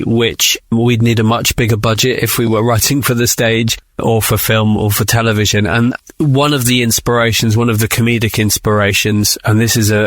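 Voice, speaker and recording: A man's voice: on the low side, fairly bright tone, no echo. A man, a writer being interviewed, talking in a thoughtful, enthusiastic, conversational way.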